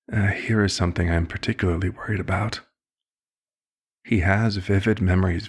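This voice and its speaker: Man playing a deep, gravelly, courteous elder vampire. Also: exaggerated voice. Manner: formal, measured, polite wording. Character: elder male vampire doctor, courteous, concerned, gently authoritative mentor. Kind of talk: ASMR roleplay, scripted one-sided dialogue.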